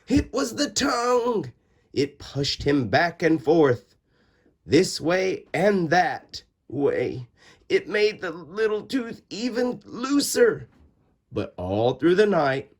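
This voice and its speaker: A man reading a children's storybook aloud in an expressive, dramatic way with drawn-out words and pauses. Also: medium pitch, breathy edge. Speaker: storybook reader. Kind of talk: animated children's read-aloud narration.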